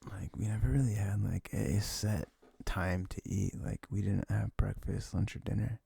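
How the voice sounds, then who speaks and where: A young man with a low, heavily creaky voice speaks close and intimate, as in casual boyfriend ASMR. His tone is dark and soft, often sinking toward a whisper. He rambles haltingly, unscripted, circling back on his words. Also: fairly even intonation, no echo. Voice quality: low, gravelly, breathy, often dropping near a whisper. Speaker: ASMR audio creator playing a comforting boyfriend.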